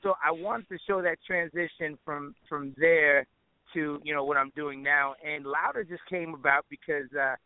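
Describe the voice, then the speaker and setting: A man's voice: a little high, steady tone, moderate pace, clean tone, no echo, phone-quality sound. A man chatting casually as an interview guest, with informal, slangy phrasing and frequent "you know" asides. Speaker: interview guest, musician and producer.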